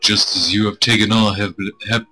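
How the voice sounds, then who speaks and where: Husky guy reading a visual novel on stream, really sharp voice, crude jokes, bit of music under it. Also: fairly low pitch, fairly even intonation, moderate pace, poor audio. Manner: crude, irreverent joking. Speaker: game streamer. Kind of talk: reading visual novel aloud with joking asides. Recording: music playing behind the voice.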